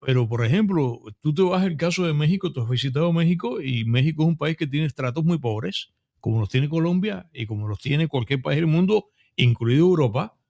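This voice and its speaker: A Cuban man in his fifties with a Cuban accent, hosting a podcast interview and telling stories in a lively, chatty way full of asides. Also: on the high side, fairly quick, creaky. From Cuba. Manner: animated storyteller, full of restarts and asides. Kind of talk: casual conversational interview.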